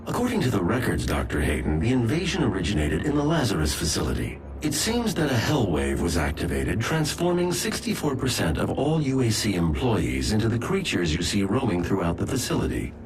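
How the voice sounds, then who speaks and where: A man voicing a calm, precise artificial intelligence in a video game, giving formal, clinical status reports in a synthetic-sounding voice. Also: fairly low pitch, brisk, few pauses, noisy background. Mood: clinical reporting.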